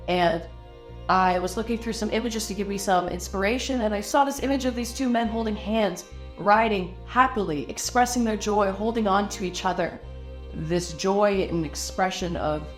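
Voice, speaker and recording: Reflective, halting woman from Lebanon. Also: fairly low pitch. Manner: reflective, halting, with frequent restarts. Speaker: artist. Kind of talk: documentary interview.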